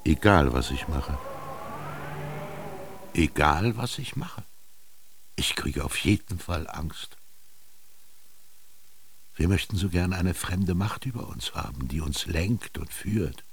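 An older man playing a wry, earthy old Egyptian former goatherd who reminisces and philosophizes in a radio drama, slowly and with dramatic pauses, his voice gravelly and husky. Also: low-pitched, slight background noise, heavily acted. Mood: anxious, insistent, then brooding. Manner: slow, brooding, expressive storytelling with dramatic pauses. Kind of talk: literary radio drama monologue.

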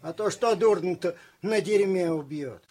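Актёр в радиоспектакле играет добродушного солдата, который говорит по-простонародному, с прибаутками. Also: male voice, sits high, measured pace, darkish tone, breathy edge, heavily acted. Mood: bantering. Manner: folksy colloquial banter. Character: good-natured, bantering rank-and-file soldier in wartime. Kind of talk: radio drama acting.

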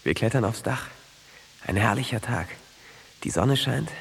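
Ein Schauspieler in einem Hörspiel spielt einen verträumten jungen, traumatisierten Soldaten, der in kurzen, schlichten Sätzen erzählt. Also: male voice, mid-range voice, unhurried, gravelly, fairly bright tone, a little hiss or hum, poor audio. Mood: dreamy, intimate. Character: dreamy young traumatized soldier who believes he can fly. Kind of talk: naturalistic German radio drama acting.

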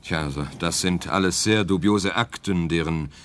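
A man's voice: deep voice, moderate pace, fairly bright tone, a little hiss or hum. An actor playing an earnest, hesitant rich man's son who hires a detective in a radio play, speaking formally and a little primly. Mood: hesitant, puzzled.

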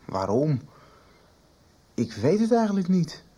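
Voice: medium pitch, sing-song, slow, warm tone, breathy edge, heavily acted. An actor in a 1990s radio soap playing a shy, polite, earnest teenage pupil who stammers hesitantly.

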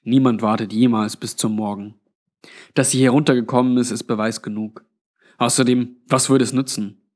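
A man's voice: medium pitch, steady tone, normal speed, darkish tone, close to an everyday voice. A man voicing a weary, blunt old undead man with a grave, resigned manner in a narrated story.

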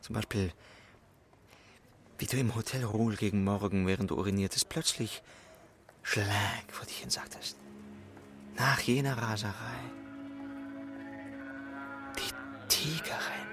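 A man's voice: lowish voice, a little slow, pauses often, a bit bright, soft background music. A man acting a brooding, needling swindler in love, with an intense, probing manner, in a German radio play.

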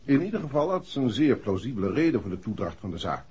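An actor playing a matter-of-fact, precise police inspector in a 1960s Dutch radio play. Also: male voice, medium pitch, sing-song, fast talker, darkish tone, breathy edge. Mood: businesslike. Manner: businesslike, precise. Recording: dull, muffled vintage radio recording.

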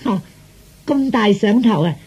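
An actress playing a vain, cheeky young princess in a comic radio drama, coy and deliberate. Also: female voice, mid-range voice, expressive, darkish tone, slightly rough, dull audio. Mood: coy, teasing.

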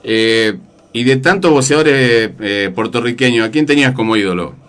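An Argentine man with an Argentine accent hosts a boxing radio show. His voice sits at an ordinary mid pitch, and he asks leading questions in a halting way, often starting with a filler. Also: a bit bright, smooth. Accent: Argentine Spanish. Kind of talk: radio interview.

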